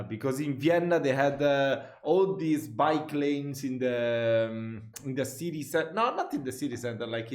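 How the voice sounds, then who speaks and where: Chatty man, echoey room. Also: mid-range voice. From Italy. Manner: chatty, jokey, quick to laugh and swear. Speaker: reaction video host. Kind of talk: casual reaction commentary.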